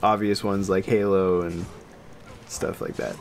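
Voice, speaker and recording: A man co-hosting a podcast in casual conversation, with a somewhat halting, hedging delivery. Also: deep voice, level tone. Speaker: podcast co-host. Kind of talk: casual chat. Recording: noticeable background noise.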